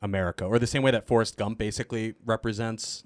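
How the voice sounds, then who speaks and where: A man's voice: fairly low pitch, steady tone, fast, no room sound. A man speaking as a cast member in a casual Q&A, informal and joking, thinking aloud as he answers. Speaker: cast member of an improvised audio drama, answering questions. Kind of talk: casual Q&A chat.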